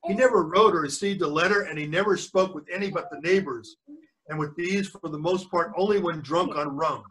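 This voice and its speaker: A man's voice: on the high side, brisk, fairly bright tone, poor audio. An older man reading a storybook aloud to children as a grandfather would, stumbling over words and correcting himself, with asides to his listeners.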